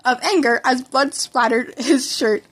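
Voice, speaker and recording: A woman's voice: high voice, a little slow. A woman reading a story aloud as narrator, informally, now and then stumbling and rereading a word. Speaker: podfic reader. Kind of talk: reading fan fiction aloud, with character dialogue.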